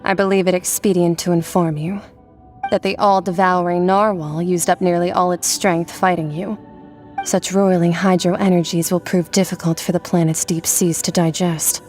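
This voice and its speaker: Actress as cool detached warrior girl in a game, lowish voice, blunt n deadpan, bit of music behind. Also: normal speed, lightly acted. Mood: matter-of-fact. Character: aloof, blunt young female otherworldly warrior. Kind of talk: video game cutscene acting. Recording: background music under the voice.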